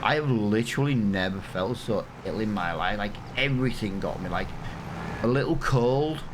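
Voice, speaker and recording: Chatty English man, low voice, humming room. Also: expressive, normal speed, background noise. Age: 30s. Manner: chatty, rambling, self-mocking. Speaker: gaming channel host. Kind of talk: casual let's-play commentary.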